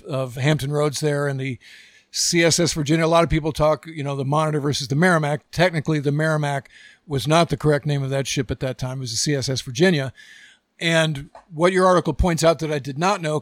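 A man's voice: a little high, dry recording, clean studio sound. A man hosting a podcast, asking his guest questions in a casual, conversational way. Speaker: podcast host. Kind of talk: podcast interview.

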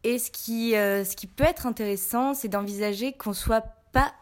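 A young woman from France, a university student, giving her view as a guest in a casual podcast discussion in a measured, articulate way. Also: on the high side. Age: young adult. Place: living room.

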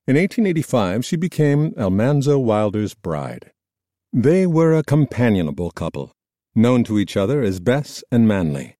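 A man reading scripted nonfiction narration in a measured, formal storytelling manner. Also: mid-range voice, sing-song, dark tone, no echo, clean studio sound. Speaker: audiobook narrator.

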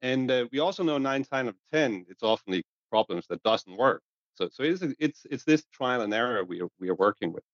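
A man's voice: medium pitch, lively intonation, brisk, no echo. A man speaking as a guest on a panel discussion, repeating words as he builds up his points. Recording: dull lo-fi audio.

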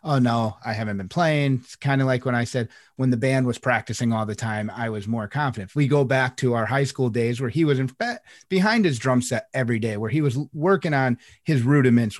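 A man co-hosting a coaching podcast, who talks in a relaxed, explanatory way and often restarts his phrases. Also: medium pitch, fairly quick, few pauses, dry recording, clean studio sound. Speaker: life coach, podcast co-host. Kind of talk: casual conversational podcast chat.

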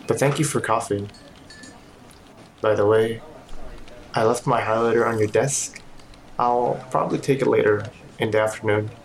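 A man playing an easygoing, reassuring colleague in an audio drama, with a casual, understated, gently playful way of talking. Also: lowish voice, steady tone, normal speed, creaky, long pauses. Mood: sympathetic. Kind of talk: audio drama acting, naturalistic dialogue.

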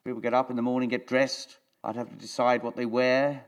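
A man playing an absurd, deadpan supreme commander of the world in a live radio comedy, speaking in a halting, literal-minded way. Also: mid-range voice, close to an everyday voice. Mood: calmly deadpan. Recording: very noisy live theatre recording.

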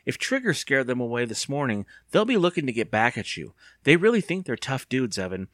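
A man reading a storybook aloud and voicing a nervous, squeamish young girl in a pitched-up, anxious way. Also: very animated, brisk, fairly bright tone, dry recording, studio quality. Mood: warning.

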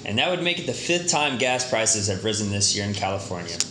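A measured male TV news anchor, acted by a man at a table read with a lowish voice. He delivers scripted news in a formal, matter-of-fact way. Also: big pitch swings, echoey room, noisy background.

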